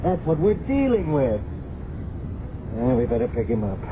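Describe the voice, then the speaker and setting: A man's voice: big pitch swings, normal speed, close to an everyday voice. An American actor playing a skeptical, hard-bitten policeman in a 1970s radio drama, arguing bluntly with a colleague. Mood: grim, incredulous. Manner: blunt, argumentative. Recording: muffled, rumbly noisy 1970s radio recording.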